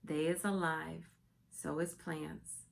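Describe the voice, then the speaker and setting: Lady doing a gentle big-eared giant, mixed-up grammar, slow and gravelly. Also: sits low, little pitch movement, a little hiss or hum, full character voice. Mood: hushed. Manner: ungrammatical, earnest, mixed-up words.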